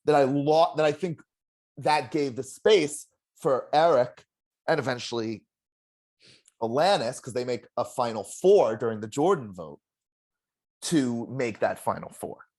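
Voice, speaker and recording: A former game contestant in a casual exit interview, telling stories in an animated, self-deprecating way with a loud voice. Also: mid-range voice, a little slow. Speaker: eliminated contestant, juror.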